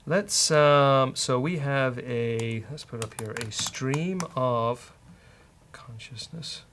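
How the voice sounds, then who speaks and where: A man's voice: medium pitch, slow pace, noisy background. A man hosting a casual live coding walkthrough, thinking aloud and joking as he works. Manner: joking, bantering with co-host. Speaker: host of a programming show, coding live.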